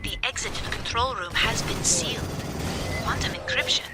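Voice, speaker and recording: A woman playing a calm, precise android science officer in a game, speaking in a measured, formal and emotionless manner. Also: on the high side, lightly acted. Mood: detached, precise. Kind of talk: video game mission dialogue. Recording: loud game music behind. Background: loud music.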